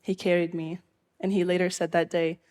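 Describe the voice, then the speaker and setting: A young woman from Syria telling her own life story live, in an earnest, measured way. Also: on the low side, flat delivery, a little mellow.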